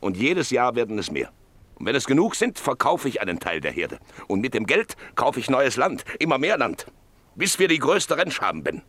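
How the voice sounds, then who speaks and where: Ambitious rancher father, lively swooping intonation, indulgent, teasing, practical, medium pitch, radio drama. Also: normal speed. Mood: indulgent, confident. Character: practical, ambitious rancher father in his prime, gently lecturing. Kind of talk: German radio play acting.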